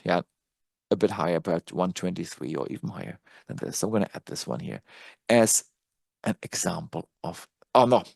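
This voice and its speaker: A man's voice: fairly low pitch, vocal fry, a little mellow, no room sound. A native German-speaking man presenting casual live stock chart commentary, conversational and thinking aloud as he works. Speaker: trading analyst and presenter.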